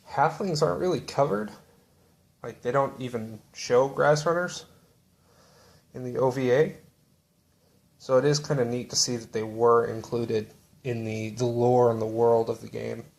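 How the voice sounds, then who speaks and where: A man showing off books from his shelf in a relaxed, rambling way with lots of fillers. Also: mid-range voice. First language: English. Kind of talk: casual show-and-tell.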